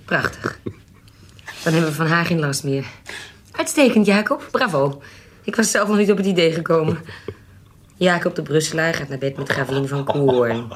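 A woman playing a cunning, bold swindler who passes as a baroness in a historical radio drama, speaking briskly and theatrically. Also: low-pitched, lively intonation, slight background noise. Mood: scheming, amused.

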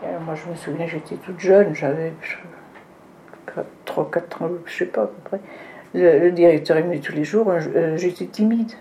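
An older woman telling childhood memories in a relaxed, lively storytelling way. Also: on the low side, moderate pace, slight background noise, rough recording. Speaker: interviewee recalling childhood. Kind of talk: casual reminiscing.

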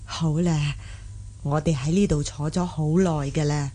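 A woman playing a genial, dignified old matriarch with a husky voice in a Cantonese audio drama. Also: deep voice, steady tone, slow pace, darkish tone. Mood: amused, indulgent.

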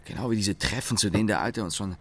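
A man's voice: fairly low pitch, fast talker. An actor playing a cynical, disgruntled office engineer in a German radio play, dryly sardonic as he lists grievances. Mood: aggrieved. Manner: dry, sardonic, enumerating grievances.

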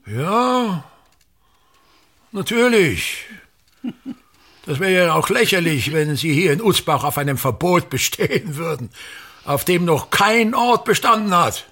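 An actor playing a pompous, self-pitying aging actor-playwright in a radio drama, declaiming in brooding, halting fragments with grand scorn and a gravelly voice. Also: male voice, high-pitched, expressive, fairly bright tone, heavily acted. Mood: indignant, grand. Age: older. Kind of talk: theatrical radio drama monologue.

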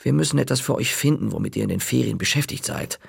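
A man's voice: mid-range voice, animated, quick pace, creaky, slightly rough, dry recording, clean studio sound, full character voice. A man voicing a harried, stern father in a storybook reading, clipped and grumbling.

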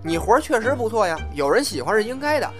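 A male actor plays a cocky, stubborn young man with a northern Chinese accent in an audio drama. His voice sits fairly high and swings widely in pitch as he spars in colloquial speech, with clear music behind him. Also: very animated, quick pace. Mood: defiant. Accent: northern Mainland Chinese. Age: young adult. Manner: sparring, colloquial. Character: cocky, quick-tongued young man, stubborn and open-hearted.

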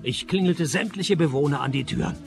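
An actor playing a cynical private detective with dry, deadpan sarcasm in a radio play. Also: male voice, fairly high pitch, brisk, gravelly, over music. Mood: dry narrating. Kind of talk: radio play narration and dialogue.

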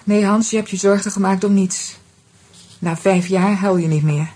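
An actress playing a composed, resigned middle-aged woman, speaking in a measured, subdued way in a Dutch radio drama. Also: medium pitch, fairly even intonation, mellow, husky, close to an everyday voice. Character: Vera, resigned, composed woman recalling old sorrows.

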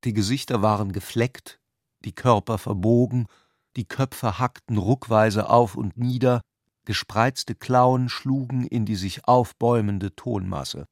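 A man's voice: lowish voice, unhurried, no room sound, studio quality. A man reading scripted literary prose as the narrator of a radio adaptation.

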